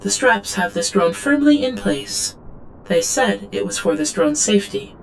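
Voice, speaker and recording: A woman's voice: mid-range voice, animated, unhurried, creaky, slight background noise, poor audio. An actress playing an obedient female android drone in an audio drama, reciting logs in a clipped, literal, detached way. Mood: detached, analytical. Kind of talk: audio drama log narration.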